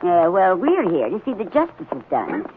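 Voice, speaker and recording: An actress playing an eager, bossy elderly busybody wife, bustling and self-important, in 1940s radio drama style. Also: female voice, on the low side, huge pitch swings, moderate pace. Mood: bossy, determined. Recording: tinny, noisy 1940s radio recording.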